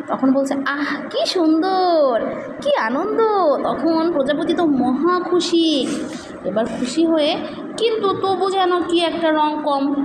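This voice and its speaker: A high-pitched woman tells a story to a small child, pausing to coax answers with simple questions. She repeats key phrases as she goes. The room rings with echo, and there is noise behind her. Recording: echoey reverberant room with background noise.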